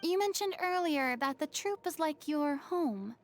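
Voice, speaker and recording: A woman's voice: sits high, lively intonation, a little slow, slight background noise. A woman voicing a gracious, poised young celebrity consultant in a video game, over music. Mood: thoughtful, curious.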